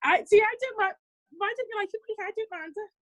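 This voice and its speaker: A woman co-hosting a podcast, chatting in a relaxed way and bringing the others in with questions. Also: very high voice, fast talker. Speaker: podcast co-host. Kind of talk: casual panel chat.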